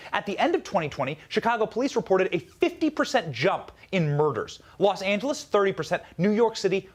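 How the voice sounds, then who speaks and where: A man delivering a scripted political speech in an emphatic manner with dramatic pauses. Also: fairly high pitch, slightly rough.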